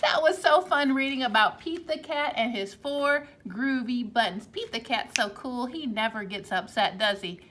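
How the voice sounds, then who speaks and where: A woman teacher reading a picture book aloud to young children in an animated, exclamatory storytime voice. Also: fairly high pitch, normal speed, hardly any pauses.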